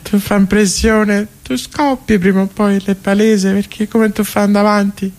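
Scolding wife, high-pitched, Tuscan accent, exasperated, nagging, coarse, radio theatre. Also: male voice, level tone, moderate pace, a little mellow. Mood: disgusted scolding. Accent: Tuscan Italian. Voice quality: high, shifted far from the performer's own voice. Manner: nagging, vulgar.

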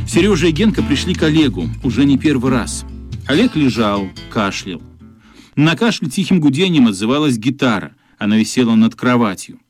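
A man's voice: mid-range voice, big pitch swings, moderate pace, husky, no room sound. A man reading scripted narration for a radio play. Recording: dry studio, music behind.

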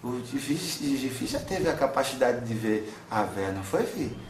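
A man's voice: mid-range voice, very animated, brisk, echoey room, slight background noise, natural voice. A man speaking as a wise, tender old grandmother spirit in a spiritual counselling session, soothing and calling the listener son again and again. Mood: gentle. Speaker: spirit medium.

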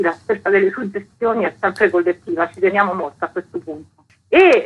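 A woman gives a radio interview as a festival director. Her voice is bright and forward with a husky grain. She explains things keenly and at length, repeating words for emphasis, on a phone line. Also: mid-range voice.

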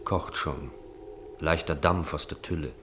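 Man, low voice, narrator, scripted literary radio-play narration. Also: unhurried, a bit bright, slight background noise.

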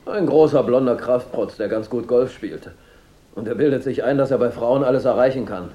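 An actor playing a cocky, sardonic chauffeur with a sharp, flippant tongue in a radio crime drama. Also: male voice, mid-range voice, normal speed, lightly acted. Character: cocky, sardonic male chauffeur quick with a gun.

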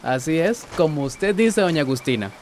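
An actor playing a kindly, earnest young environmental volunteer in a radio drama. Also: male voice, on the high side, lively intonation, moderate pace, natural voice. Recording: faint background noise.

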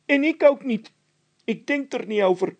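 Man putting on high, whiny, timid workman. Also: lively intonation, moderate pace. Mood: stubborn fear. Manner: whiny, emphatic. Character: whining, timid workman who refuses to budge.

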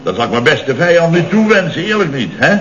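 An actor playing a boastful, rambling, scatterbrained Dutch boss of a building firm in 1970s radio comedy style. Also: male voice, fairly high pitch, big pitch swings, a little fast, fairly bright tone. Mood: blustering, grumbling. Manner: rambling, emphatic, repeats himself. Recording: dull, noisy old radio recording with hum.

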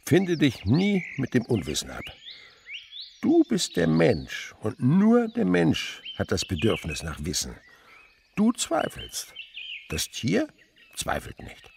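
An actor playing a kindly old insect researcher in a radio play, philosophical and rapturous, moving between murmurs and exclamations. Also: male voice, on the low side, vocal fry, exaggerated voice. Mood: musing, impassioned. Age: older. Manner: theatrical swings from murmur to exclamation. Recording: radio drama with insect noise behind.

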